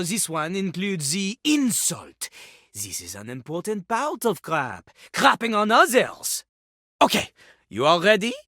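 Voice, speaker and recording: Pompous, swooping French rap-master character. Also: female voice, medium pitch, creaky, pushed and bright, no echo, clean studio sound, full character voice. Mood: pompous, demanding. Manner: pompous, speaks of himself in third person, dismissive. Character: vain, scornful French toilet who fancies himself a rap master. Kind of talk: theatrical video game character acting.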